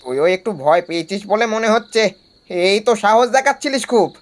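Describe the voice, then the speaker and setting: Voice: mid-range voice, lively intonation, fairly quick, natural voice. An actor playing a practical young man in a horror cartoon, teasing and reproachful with his friends. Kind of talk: animated horror cartoon dialogue.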